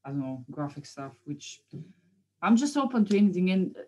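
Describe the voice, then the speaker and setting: A woman's voice: on the low side, very animated, moderate pace, warm tone, poor audio. A woman in her thirties from Bulgaria with a Bulgarian accent, chatting informally and a little hesitantly as an interview guest. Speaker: guest, painter. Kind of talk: casual interview chat.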